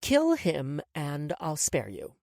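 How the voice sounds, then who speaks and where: A woman voicing a calm, cruel woman who toys with her captives, speaking softly and with quiet menace. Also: low-pitched, takes it slowly, vocal fry, no echo, clean studio sound, exaggerated voice. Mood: cold, menacing. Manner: soft, coolly menacing.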